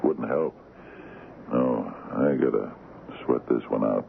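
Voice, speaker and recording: Very deep, gravelly, ailing sea captain. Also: male voice, heavily acted. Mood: stubborn, weary. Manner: halting, stammering protests. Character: weakened, stubborn, joking sea captain, sick in bed. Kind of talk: radio drama acting. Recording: noisy, tinny old radio broadcast.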